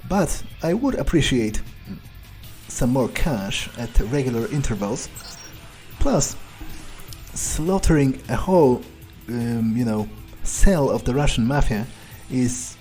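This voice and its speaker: A man playing a cold, cynical vampire, thinking aloud in a nonchalant, halting way as he plays through a game. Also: medium pitch, animated, dark tone, soft background music. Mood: cynical musing. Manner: nonchalant, hesitant musing. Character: cold, cynical male vampire, casually murderous. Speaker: game streamer role-playing his character. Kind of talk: in-character playthrough monologue, thinking aloud.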